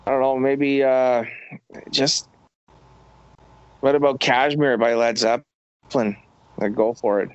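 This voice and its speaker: A man's voice: mid-range voice, fairly even intonation, takes it slowly, pauses often, clean tone, no echo, dull audio. A middle-aged man from Canada, a podcast guest, giving laid-back, profane advice in casual chat.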